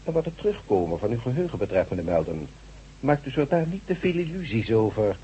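Patronising doctor in a radio play, really raspy, swoopy intonation. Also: male voice, mid-range voice, sing-song, quick pace, dark tone. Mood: dismissive, assured. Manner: patronising, assured. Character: brisk, condescending male doctor, smoothly authoritative. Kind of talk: radio drama acting. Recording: dull, muffled radio drama recording.

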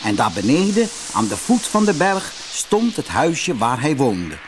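Male storyteller, very lively intonation, slightly high pitch, scripted children's audiobook with music. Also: unhurried, background noise. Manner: expressive storytelling. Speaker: audiobook narrator. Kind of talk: scripted fairy-tale narration.

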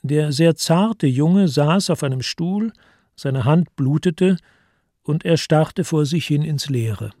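Higher-pitched male narrator, dry studio sound. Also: slow, mellow, no room sound. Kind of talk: scripted literary narration for radio play.

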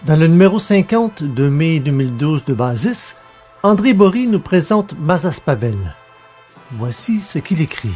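A man's voice: fairly high pitch, very animated, unhurried, a little mellow. A man hosting a podcast, reading a presentation aloud in a roomy recording. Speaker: podcast host. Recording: roomy sound with faint music.

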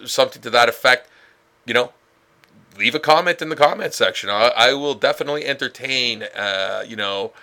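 Bright-voiced man hosting a casual podcast. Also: medium pitch. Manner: rambling, many fillers and restarts. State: stuffed up with a head cold. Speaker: podcast host. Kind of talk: casual solo podcast chat.

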